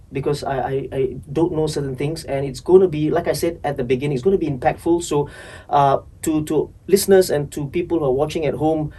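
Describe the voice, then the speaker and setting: A man's voice: a little high, a little mellow, recorded from a distance. Host: Singaporean man, stammering repeats, eager interviewer, a bit off-mic. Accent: Singaporean English. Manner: hesitant, repeats words, eager questions. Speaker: podcast host. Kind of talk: casual interview.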